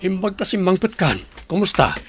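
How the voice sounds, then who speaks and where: A man's voice: sits high, very animated, brisk, fairly bright tone, soft background music. A man acting a resigned, plain-spoken village father in an Ilocano radio drama. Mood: friendly.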